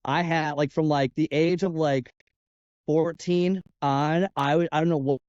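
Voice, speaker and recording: A man's voice: on the high side, steady tone, a little slow, a little mellow, no room sound. A man with a nasal voice, a fitness coach guest on a podcast, talking casually and rambling with lots of "like" and restarts. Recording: dull, lo-fi podcast audio.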